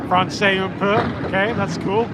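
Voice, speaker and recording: A man's voice: high-pitched, little pitch movement, normal speed. An American man chatting casually at a bowling alley, rambling and slipping in bits of other languages. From United States. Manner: chatty, rambling, drops in bits of French and Spanish. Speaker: bowler. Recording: loud background noise.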